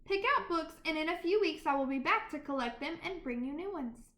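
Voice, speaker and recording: Woman playing a kindly male librarian, high-pitched, welcoming, encouraging, storybook read-aloud, echoey. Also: lively intonation, brisk, sharp tone. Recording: roomy, echoing sound.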